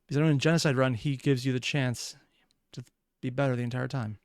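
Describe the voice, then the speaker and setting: A man's voice: medium pitch, fairly even intonation, fast talker, clean tone, no echo, clean studio sound. A man hosting a reaction video, commenting casually in a rambling, analytical way.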